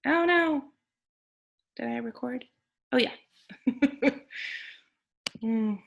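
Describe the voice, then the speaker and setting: A woman reading a picture book aloud as a teacher on a video call, pausing to explain words simply for children. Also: high voice, creaky, muffled recording, slight background noise. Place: online video meeting with screen sharing.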